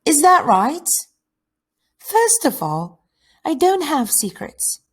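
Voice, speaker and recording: Woman playing prim, guarded well-bred girl, high voice. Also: sing-song, slow, heavily acted. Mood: indignant. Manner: formal, precise, proper phrasing. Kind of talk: acted play reading.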